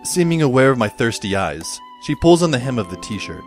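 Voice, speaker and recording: Man as wry schoolboy narrator over soft music. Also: medium pitch, very animated, a little fast, natural voice. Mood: admiring, dry. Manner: dry, sardonic, literary. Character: wry, long-suffering teenage schoolboy narrator. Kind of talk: first-person audio drama narration.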